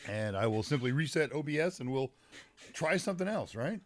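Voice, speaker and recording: A man hosting a casual live tech stream, chatting with viewers by name and rambling a little, his voice a bit deep and congested from a summer cold. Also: lively intonation, fairly quick, a little hiss or hum. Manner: rambling, greets chat viewers by name, frequent fillers. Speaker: livestream host. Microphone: mic set some distance away.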